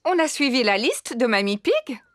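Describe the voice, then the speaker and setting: A woman voicing a gentle, polite mother pig in a children's cartoon dub. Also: fairly high pitch, sing-song, moderate pace.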